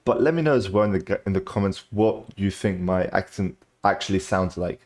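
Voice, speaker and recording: A man's voice: low voice, a little fast, darkish tone. A man with a mixed British accent, half British and half Cypriot, chatting casually while reacting to videos. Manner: loose, chatty, says "bro" a lot. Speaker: reaction channel host.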